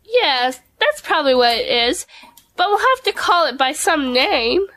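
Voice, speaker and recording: A woman voicing a brisk, motherly, playfully stern kangaroo in a children's storybook audio drama, fussing in a singsong way. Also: high-pitched, big pitch swings, fairly bright tone, no room sound, heavily acted. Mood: mock surprise. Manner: fussing, singsong, mock-innocent.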